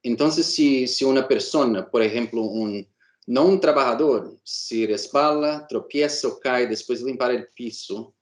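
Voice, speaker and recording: A man's voice: mid-range voice, normal speed, roomy sound. A man from Brazil whose first language is Portuguese, an insurance agent answering interview questions with many fillers and restarts, speaking with a Brazilian Portuguese accent.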